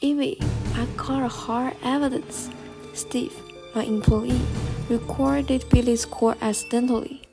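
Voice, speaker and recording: A woman's voice: fairly high pitch, steady tone, a little slow, music in the background. A woman playing a concerned businesswoman in a radio play. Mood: serious. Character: concerned businesswoman seeking a detective's help.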